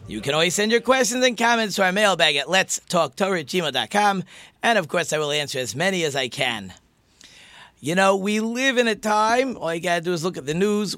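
High, bright-voiced rabbi host. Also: male voice, dry recording. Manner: friendly, chatty and direct. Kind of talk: podcast interview hosting.

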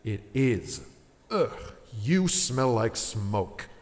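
Stern boss in a reverberant room. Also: male voice, mid-range voice, very animated, drawn-out words. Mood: irritated. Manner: scolding, impatient, condescending. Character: stern, exasperated male restaurant boss, older than his employee. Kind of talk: audio drama acting. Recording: long reverberant room echo.

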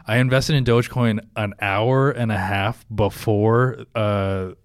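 A young man with a low voice, recorded dry with no room sound. He co-hosts a podcast in a halting way, stopping often and filling the gaps with fillers. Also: steady tone, no echo. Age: young adult. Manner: hesitant, lots of uh and um. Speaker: podcast co-host. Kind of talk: casual chat.

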